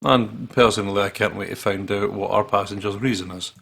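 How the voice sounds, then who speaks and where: A man with a husky, gravelly voice playing a visual novel, reading the text aloud and joking in a playful way. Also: on the low side, steady tone, fairly quick, a bit bright. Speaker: let's play host reading the game aloud. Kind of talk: dramatic read-aloud with joking commentary.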